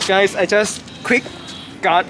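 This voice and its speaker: A young man with a Thai accent and halting English, explaining things to tourists as a guide. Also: high-pitched, fairly even intonation, music in the background. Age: young adult. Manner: halting, non-fluent English with repeated words. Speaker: tour guide. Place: outdoors by city canal and riverside. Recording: loud outdoor traffic and city noise.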